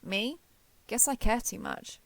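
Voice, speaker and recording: A woman playing a giant friendly alien robot soldier in an audio roleplay, gentle, reassuring and a little awkward with the listener. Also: a little high, slow pace, creaky, no echo. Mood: friendly, earnest. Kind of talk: scripted roleplay audio drama addressed to listener.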